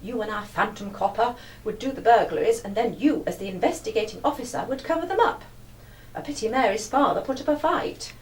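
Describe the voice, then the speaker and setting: A woman's voice: fairly high pitch, expressive, a little fast, close to an everyday voice. A woman acting in a live radio play as a clever, teasing young lady detective, with a British accent and breezy, genteel old-fashioned phrasing. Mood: confident, accusing. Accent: British English. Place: live stage performance recorded from the audience area. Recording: distant echoey hall, faint noise.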